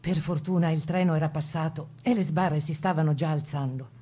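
A woman's voice: low voice, fairly even intonation, fairly quick, dark tone, husky. An actress as a composed woman partisan recalling wartime. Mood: wry, composed. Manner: composed first-person storytelling. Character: plucky Italian woman partisan recalling wartime Resistance missions. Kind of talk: dramatized testimony reading for radio.

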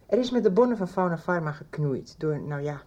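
A woman's voice: fairly low pitch, lively intonation, brisk, warm tone. An actress playing a worried woman confiding in a friend in a radio drama. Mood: concerned, explaining. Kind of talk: 1990s radio drama acting.

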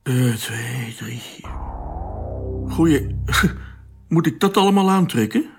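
A man's voice: medium pitch, animated, slow pace, gravelly, darkish tone, breathy edge, faint music behind, full character voice. An actor playing an informal, curious and easily puzzled spaceship crewman in a radio drama. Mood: bemused. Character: tired, bemused young crewman, informal and curious.

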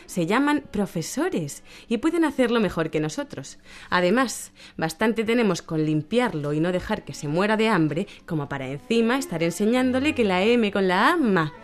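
A woman's voice: medium pitch, lively intonation, moderate pace, lightly acted. An actress in a satirical radio drama playing a pragmatic, exasperated mother who reasons sarcastically, with a Castilian Spanish accent. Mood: sarcastic.

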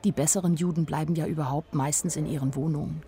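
Low-voiced, candid young woman narrator, played by an actress. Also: steady tone, fast, warm tone, close to an everyday voice. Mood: observant, naive. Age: young adult. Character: candid, naive, watchful nineteen-year-old young woman. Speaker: actress narrating as the main character. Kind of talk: radio drama first-person narration.

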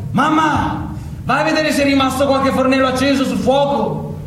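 A man's voice: very high-pitched, moderate pace. An actor playing a needy, obsessive son who pesters his mother in a whiny, nagging stage monologue. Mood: anxious, calling out. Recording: very echoey stage, distant mic, background noise.

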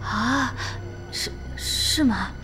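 A woman's voice: fairly high pitch, drawn-out words, over music. An actress playing a hesitant, secretive young woman on a night train in a horror drama. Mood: hesitant, probing. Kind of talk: conversational horror drama acting.

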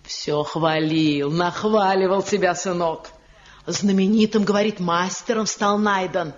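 A woman's voice: on the low side, fairly even intonation, unhurried, close to an everyday voice. A woman playing a muffled, chatty old village mother. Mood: fond, chatty. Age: older. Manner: gossipy, folksy, repetitive. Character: talkative old village mother, excitable, doting on her son. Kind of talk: radio drama acting. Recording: muffled old radio play recording, music behind.

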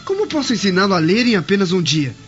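A man's voice: high-pitched, very animated, fast, fairly bright tone. An actor playing a flustered, argumentative Roman nobleman, protesting in exclamatory radio-drama style. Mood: incredulous. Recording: dull lo-fi radio drama, music underneath.

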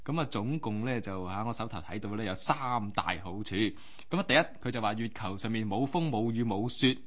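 一位帶香港粵語口音嘅男主持，用口語化嘅方式向聽眾講解故事，好似電台傾偈咁。. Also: male voice, lowish voice, brisk, a bit bright. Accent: Hong Kong Cantonese. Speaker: radio host. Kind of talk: conversational storytelling radio talk.

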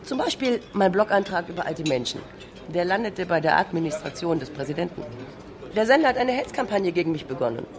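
A woman voicing a combative blogger, very animated. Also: lowish voice, fairly quick, crowd noise, close to an everyday voice. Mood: self-assured, defiant. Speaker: voice-over speaker for an interviewee. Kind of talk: translated interview voice-over.

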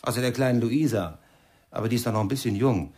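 A man's voice: medium pitch, quick pace, darkish tone, lightly acted. An actor playing a teasing, impatient husband and father in a German radio play. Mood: needling. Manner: teasing, needling.

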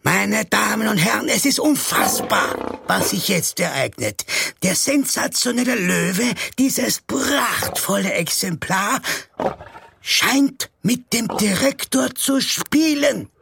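High, creaky, melodramatic announcer. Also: male voice, animated, sharp tone, slight background noise, exaggerated voice. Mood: astonished, sensational. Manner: declamatory, sensational, drawn-out. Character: melodramatic stage announcer narrating a farcical play. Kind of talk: theatrical scripted narration.

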